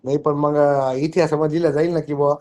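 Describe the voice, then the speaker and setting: A man's voice: a little high, flat delivery, fast, warm tone. A man with a Maharashtrian accent, chatting casually on a phone call. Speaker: caller.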